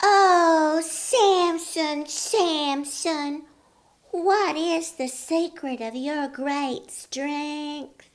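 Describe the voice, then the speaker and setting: A woman voicing a whiny, scheming young woman, pleading in a high, drawn-out voice. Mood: coaxing.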